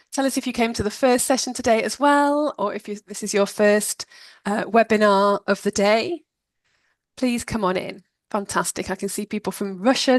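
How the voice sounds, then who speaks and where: A woman hosts a live webinar in an upbeat, welcoming manner, her voice close and dry with no room sound. She greets the audience warmly and introduces the guests. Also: fairly high pitch, dry recording. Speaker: webinar host.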